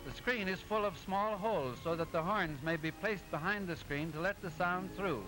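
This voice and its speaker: A man's voice: sits high, natural voice, low-quality recording. A man playing a genial professor who narrates an educational 1920s cartoon in a didactic, precise way. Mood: explaining. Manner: didactic, precise, measured explaining. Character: genial male professor explaining machines in a cartoon. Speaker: narrator, lecturer. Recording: old 1920s film soundtrack, music behind.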